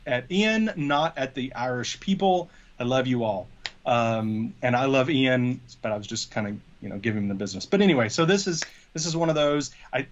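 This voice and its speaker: A man's voice: medium pitch, highs cut off. A man in his forties co-hosting a tech show in a casual, hesitant, conversational way, thinking aloud as he goes. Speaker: co-host of a tech news show. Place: small home office, video call.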